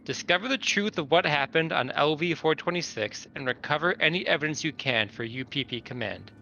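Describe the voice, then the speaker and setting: A man with a bright, mid-pitched voice speaks as a player in a tabletop game, casually or reading from notes. The recording is slightly dull and lo-fi with faint noise. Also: lively intonation, fairly quick, creaky.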